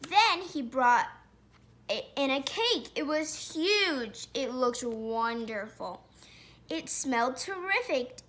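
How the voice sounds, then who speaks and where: Bright, high-voiced woman reading a storybook. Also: lively intonation. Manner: pauses to describe pictures and question listeners. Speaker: storybook reader. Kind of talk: reading a children's picture book aloud.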